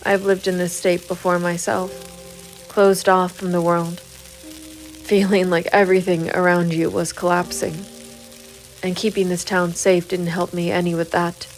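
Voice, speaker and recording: An actress plays a hard-bitten female private investigator in a noir audio drama. Her voice sits a little low and stays very level, grim and measured, guarded yet protective. Faint music runs behind her. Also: level tone, low-quality recording. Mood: brooding. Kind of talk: noir first-person narration and audio drama dialogue.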